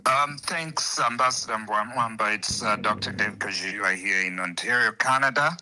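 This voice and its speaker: Zambian-born male caller, slow, bright voice, thoughtful and halting. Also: on the low side. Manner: slow, hesitant, many restarts. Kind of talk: call-in comment.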